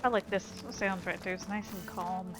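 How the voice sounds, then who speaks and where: A woman giving casual, relaxed game walkthrough commentary, chatting and musing aloud as she plays. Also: medium pitch, normal speed. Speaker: gaming video host. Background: game music.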